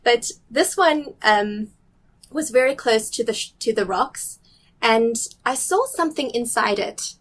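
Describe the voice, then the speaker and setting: A woman's voice: on the high side, lively intonation, measured pace. A middle-aged woman from South Africa with a blended international accent, slightly Canadian, telling nature stories eagerly and explaining with small restarts in a casual interview. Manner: enthusiastic, curious, explains carefully with small restarts. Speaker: guest, nature recorder. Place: restaurant or office in a city.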